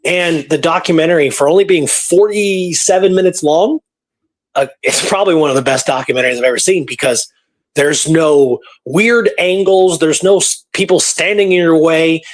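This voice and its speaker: Male radio co-host, fairly high voice, conversational history storyteller. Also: normal speed. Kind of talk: casual history discussion.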